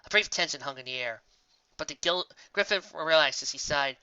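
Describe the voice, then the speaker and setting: A man's voice: on the high side, brisk, pushed and bright, smooth, no room sound, lo-fi sound. A man reading scripted story narration, now and then stumbling and rereading a word. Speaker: fan fiction reader.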